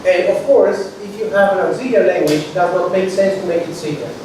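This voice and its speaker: A man's voice: sits high, a little fast, noisy background. A man whose first language is Italian, giving a university lecture to a seminar audience, explaining step by step and often checking in with "okay?". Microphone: distant from the recording mic. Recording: very echoey room recorded from far off.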